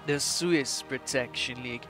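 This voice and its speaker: A man's voice: mid-range voice, a little slow, a bit bright, faint music behind. A man in his twenties playfully commentating on a strategy game in a mock-imperious, theatrical way, putting on an Austrian accent. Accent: put-on Austrian. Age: 20s. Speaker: gaming channel host.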